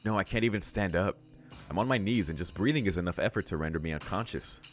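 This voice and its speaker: A man's voice: low-pitched, fast. A man hosting a let's read, narrating visual novel text aloud and adding casual reactions of his own. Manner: casual, swearing asides between read lines. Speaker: let's play host, reader. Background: game music.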